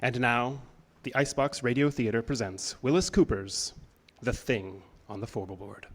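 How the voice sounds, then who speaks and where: A man's voice: medium pitch, moderate pace. A man announcing in a scripted, dramatic style, as a presenter introducing a play. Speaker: theater announcer.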